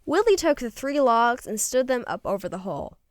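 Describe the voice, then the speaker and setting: A woman reading a children's story aloud in an expressive, folksy first-person storytelling manner. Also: fairly high pitch, brisk, dry recording, studio quality. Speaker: storybook reader.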